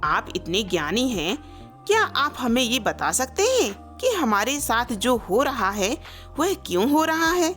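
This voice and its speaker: A respectful village man in a moral storybook tale read by a woman, asking for answers. The delivery is slow and highly inflected, with music behind it. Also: big pitch swings, fairly bright tone. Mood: respectful, puzzled. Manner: respectful, questioning.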